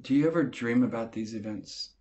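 An actor playing a measured clinician, putting probing questions in a training-film interview. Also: male voice, mid-range voice, darkish tone, muffled recording, natural voice.

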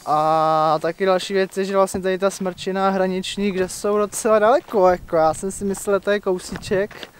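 Young male hiking vlogger, noticeably high voice, informal and slangy, recorded at arm's length outdoors. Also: flat delivery, few pauses. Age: young adult. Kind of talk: casual vlog chat. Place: outdoors on mountain and forest trails. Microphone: handheld camera at arm's length.

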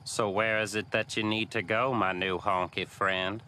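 An actor playing a folksy, rueful driver in an animated comedy, with vehicle noise behind him. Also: male voice, lowish voice, moderate pace.

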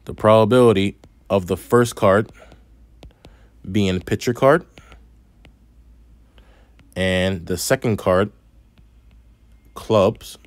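Male math teacher, lowish voice, slight lisp, patient step-by-step delivery, dry close-mic voice-over. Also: takes it slowly, pauses often, no echo. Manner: patient, step-by-step explaining with asides. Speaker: math instructor. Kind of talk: screen-recorded math lesson.

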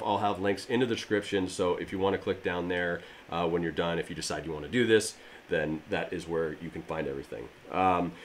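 A man in his thirties with a low, slightly raspy voice talks to camera about a DIY project. He rambles in a friendly way, full of fillers and restarts. He is recorded on the camera mic in his garage gym with a faint hum of ambience. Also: a bit bright. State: allergies. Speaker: fitness DIY channel host. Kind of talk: casual how-to talk to camera. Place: home garage gym, indoors.

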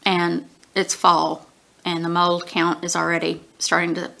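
A woman's voice: fairly low pitch, completely flat delivery, unhurried, pushed and bright. A woman in her forties with allergy sniffles, chatting through a casual book review at home with lots of ums, recorded on a webcam at desk distance. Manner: chatty, rambling, frequent ums and throat-clearing. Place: small room at home, indoors.

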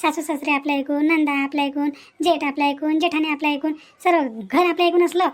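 A woman's voice: high voice, level tone, fast talker, close to an everyday voice. A woman voicing an opinionated, sympathetic village aunt in a family cartoon, with a Varhadi Marathi accent and a repetitive, folksy way of talking punctuated by questioning hums. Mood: consoling. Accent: Varhadi Marathi, Vidarbha. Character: consoling, indignant village aunt, fond of the bride. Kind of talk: cartoon family melodrama acting.

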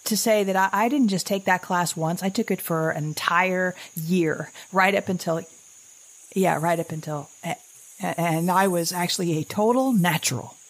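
A middle-aged actress playing an anxious, wry, weary survivor who records a halting, rambling diary in a naturalistic audio drama. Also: female voice, lowish voice, natural voice, poor audio. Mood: nervous, determined.

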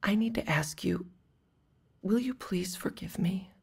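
A creaky-voiced estranged wife. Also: female voice, mid-range voice, a little slow, exaggerated voice. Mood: earnest, contrite. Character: estranged wife, hurt but apologetic. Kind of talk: radio drama acting.

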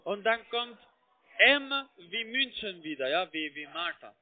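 High-pitched foreign-accented man on the phone. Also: expressive, a little slow. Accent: foreign, non-native German. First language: not German. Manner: repetitive, keeps checking with tag questions. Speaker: call center agent posing as IT support. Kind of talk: step-by-step phone instructions. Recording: tinny narrowband phone line.

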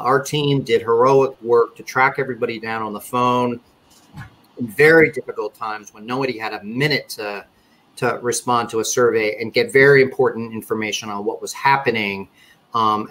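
A middle-aged man giving a webinar presentation on a video call, speaking in a measured way with frequent fillers and restarts. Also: mid-range voice, fairly bright tone, slight background noise, low-quality recording. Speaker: conference panelist presenting survey results. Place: indoor office or home room.

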